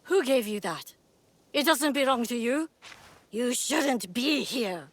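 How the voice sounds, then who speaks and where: A cryptic shrine woman speaks in hushed, ominous tones. Every word sounds like a warning. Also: a little high, lively intonation, slow, full character voice. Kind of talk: video game cutscene acting.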